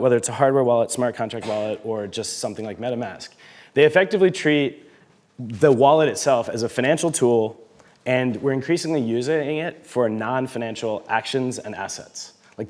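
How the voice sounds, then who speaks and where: A man's voice: mid-range voice, a little mellow. A man in his thirties giving a casual, self-deprecating conference talk, with frequent fillers and light laughs. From United States. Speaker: conference speaker, head of marketing.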